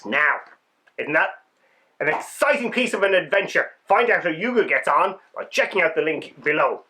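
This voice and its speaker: A man reading stories aloud for children in an expressive, dramatic way, leaning on key words. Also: on the high side, normal speed. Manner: animated, dramatic delivery with emphasized words. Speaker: children's storybook reader and host. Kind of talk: expressive read-aloud storytelling for kids.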